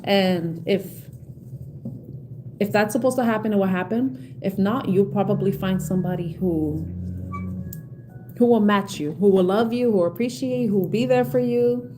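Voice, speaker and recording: A woman's voice: medium pitch, moderate pace, noisy background. A middle-aged woman from the South Bronx with a New York accent, giving a live tarot reading and chatting with viewers in a reassuring, rambling way, full of fillers and restarts. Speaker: tarot reader.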